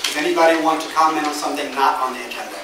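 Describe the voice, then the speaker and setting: A man with a Midwestern American accent, serving as mayor and chairing a city council meeting in a measured, procedural way with frequent fillers. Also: on the high side. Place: council chamber. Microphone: far from the mic. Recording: roomy, distant, noisy chamber audio.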